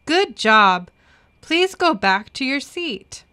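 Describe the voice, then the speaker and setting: A woman reads English practice dialogues for a children's lesson. Her voice is bright and very clean, and she takes each phrase slowly with careful enunciation. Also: sits high, sing-song. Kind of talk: slow scripted language-lesson reading.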